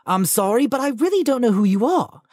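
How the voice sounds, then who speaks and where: A woman's voice: a little high, sing-song, fairly quick, a little mellow, no echo, studio quality, pitched above the performer's own voice. A woman voicing a polite, bewildered boy who speaks hesitantly.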